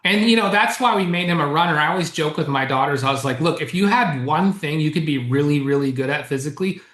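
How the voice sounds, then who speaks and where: Animated author guest in a roomy room. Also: male voice, fairly high pitch, fast talker. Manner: animated, chuckles often, explains at length. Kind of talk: casual interview chat. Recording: roomy echo.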